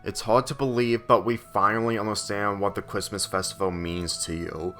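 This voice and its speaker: A man's voice: fairly low pitch, steady tone, moderate pace, a bit bright, soft background music, lightly acted. A man reading a storybook's boisterous, teasing brother with a blunt manner. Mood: matter-of-fact. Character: teasing, boisterous young brother of a teenage girl. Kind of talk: storybook character dialogue.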